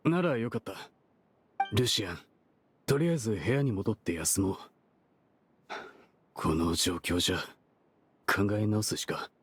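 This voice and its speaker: An actor playing a brash, shifty perfume merchant in an anime game dub, talking in a rough, argumentative, casual way. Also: male voice, fairly low pitch, lively intonation, unhurried, long pauses, a little hiss or hum. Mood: resigned. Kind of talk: Japanese anime game dubbing.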